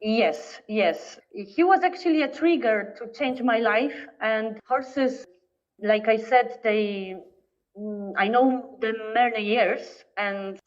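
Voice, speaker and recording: A woman from Poland with a Polish accent, a podcast guest telling her story in English, often pausing to search for words. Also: fairly high pitch. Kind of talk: casual interview talk. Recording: distant, dull lo-fi remote audio.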